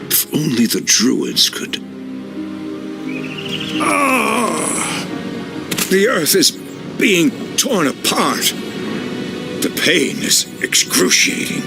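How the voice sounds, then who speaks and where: An actor playing an ancient, noble male night elf druid in a video game, gravelly, solemn and theatrical. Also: low-pitched, exaggerated voice. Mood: agonized. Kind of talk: dramatic video game voice acting. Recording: dramatic game score underneath.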